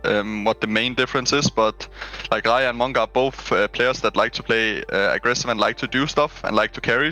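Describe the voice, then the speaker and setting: Young man with a bright voice on a headset. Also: medium pitch, low-quality recording. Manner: relaxed, filler-heavy, frank. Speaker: esports player. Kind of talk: post-match interview. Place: small room at home. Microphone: headset on a webcam call.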